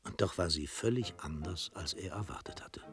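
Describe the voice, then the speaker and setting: A man with a husky voice narrating a literary radio play in a dramatic storytelling style. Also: low voice, normal speed, fairly bright tone.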